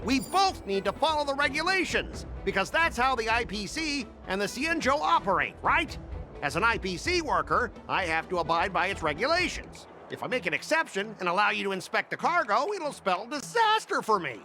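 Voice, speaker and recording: A pushy, blustering cargo company worker from a game. He sounds high and bright, and his pitch swings wildly as he argues his case. Faint music plays under him. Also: big pitch swings, normal speed, heavily acted. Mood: flustered. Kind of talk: game voice acting.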